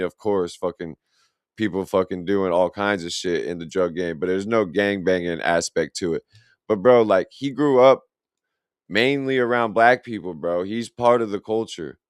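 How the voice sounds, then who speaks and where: A man's voice: deep voice, moderate pace, clean tone, dry recording, studio quality. A young man, reacting to a video in blunt, profane, rambling casual commentary. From Illinois, USA. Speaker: reaction streamer.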